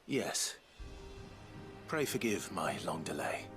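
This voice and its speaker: A man acting a brooding young nobleman warrior in a video game, speaking in a grave, measured manner. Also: sits low, big pitch swings, fairly bright tone, faint music behind, exaggerated voice. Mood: gentle, solemn.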